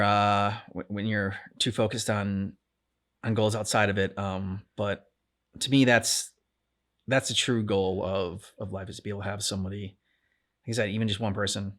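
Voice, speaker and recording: Male co-host, bright forceful tone, casual and thoughtful, halting delivery with repeated words. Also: fairly low pitch. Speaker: co-host of a reaction channel. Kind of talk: casual reflective discussion.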